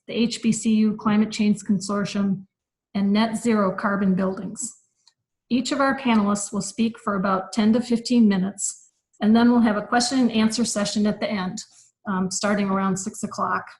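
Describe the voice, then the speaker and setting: A woman moderating a webinar, relaxed and filling pauses with ums and uhs. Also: mid-range voice, poor audio. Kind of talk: casual moderating.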